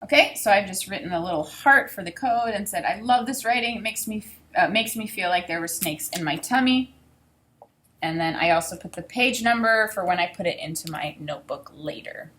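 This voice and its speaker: Woman in her thirties, bright crisp-edged tone, mid pitch, expressive teacherly read-aloud, deliberate phrasing. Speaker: teacher reading a children's book aloud. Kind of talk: read-aloud lesson with think-aloud commentary. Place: small indoor room with desk and bookshelf.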